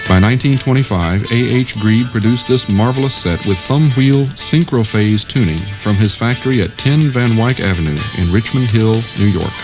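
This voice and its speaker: A man's voice: lowish voice, normal speed, few pauses, over music. A man reading scripted documentary narration as the narrator.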